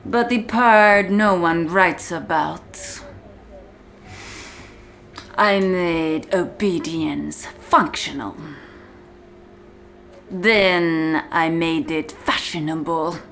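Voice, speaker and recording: A woman playing a contemptuous, commanding prison warden in a slow, deliberate, menacing ASMR-style roleplay monologue. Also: fairly low pitch, fairly bright tone, a little hiss or hum, heavily acted. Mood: chilling reminiscence. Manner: slow, deliberate, menacing, often dropping to a whisper.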